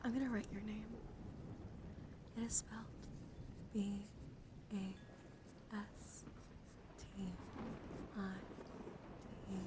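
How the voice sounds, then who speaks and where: A young woman hosts a livestream in a gentle ASMR style. Her mid-pitched voice is breathy and often drops toward a whisper. She is close to the microphone, with clear noise in the recording. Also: fairly even intonation, slow pace, pauses often. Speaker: livestream host. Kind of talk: ASMR, soft-spoken casual live chat and card reading.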